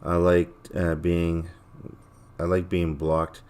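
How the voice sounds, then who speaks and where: A man's voice: low-pitched, monotone, slow pace, a little mellow, smooth. A man hosting a casual livestream in a rambling, blunt, profane way, his voice a little worn as if he is losing it. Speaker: livestream host. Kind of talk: casual livestream sign-off chat, reading chat comments aloud.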